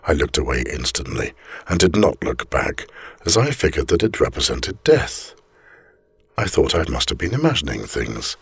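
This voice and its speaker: A man reading a scripted ghost story aloud as a storyteller, with measured, deliberate and theatrical phrasing. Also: a bass voice, husky, dry recording.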